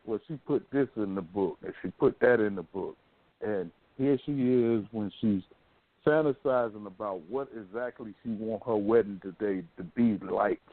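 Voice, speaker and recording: A man's voice: mid-range voice, dry recording. Guy hosting casual radio talk, colloquial storyteller, dry tinny phone sound. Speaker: radio talk show host. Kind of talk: casual opinionated chat. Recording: thin phone-like band-limited sound.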